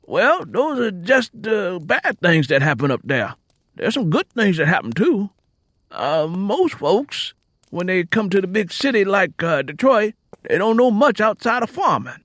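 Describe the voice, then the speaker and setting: A man's voice: high-pitched, very animated, a bit bright, no echo, clean studio sound, pitched above the performer's own voice, heavily acted. A man voicing a worldly, plain-spoken travelling musician in an audiobook, telling things in folksy Southern US dialect. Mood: admiring. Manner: folksy, drawn-out storytelling in rural dialect. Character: easygoing male travelling harmonica player, plain-spoken and worldly. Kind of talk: acted audiobook character dialogue. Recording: music playing under the voice.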